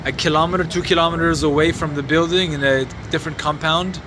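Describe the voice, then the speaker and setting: A man livestreaming from his phone outdoors at night, answering viewers' questions in a halting, sombre way with many restarts. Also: a little high, steady tone. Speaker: livestreamer reporting a fire. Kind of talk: live phone stream, answering viewer comments. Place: outdoors in a city compound at night. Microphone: phone mic. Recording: noisy outdoor phone stream.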